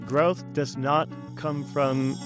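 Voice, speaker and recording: An American man giving measured, explanatory answers as a guest in a conversational interview. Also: medium pitch, flat delivery, over music. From United States. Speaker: podcast guest, teacher and content creator.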